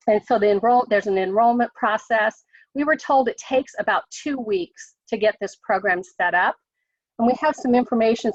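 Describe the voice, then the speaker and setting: A woman official with an American accent, hoarse from a cold, briefing on a conference call, halting with many ums and restarts. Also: medium pitch, dry recording. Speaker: state education official. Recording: dry muffled call audio.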